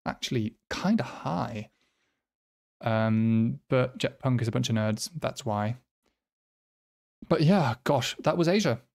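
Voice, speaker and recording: A man from the Nordic region, a native speaker of Swedish or Finnish, talking casually to viewers as a quiz host, halting and self-deprecating as he thinks aloud. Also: mid-range voice, expressive, gravelly, darkish tone. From Finland or nearby Nordic region.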